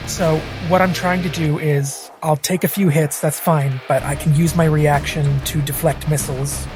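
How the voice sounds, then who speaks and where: A woman with a fairly low voice plays a tabletop game. She explains her moves casually, with music clearly audible behind her. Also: fairly even intonation, moderate pace. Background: background music.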